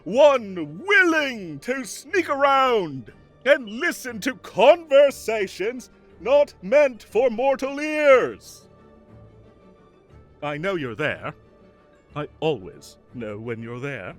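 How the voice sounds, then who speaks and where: A man's voice: extremely high pitch, sing-song, slow, a bit bright, soft background music, heavily acted. A man playing a whimsical fae king of summer in an audio roleplay, theatrical, playful and grand, with mock indignation and doting fondness for his cats. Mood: sly, teasing.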